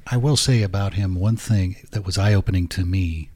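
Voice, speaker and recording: A man's voice: low voice, normal speed, a little mellow. An American man asking questions and sharing thoughts as the interviewer in a casual, conversational interview.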